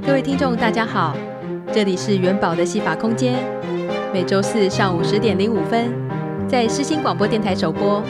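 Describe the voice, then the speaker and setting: A woman with a Taiwanese Mandarin accent who hosts a radio show, presenting scripted introductions and interviewing a guest. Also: mid-range voice. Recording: music playing behind the voice. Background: music.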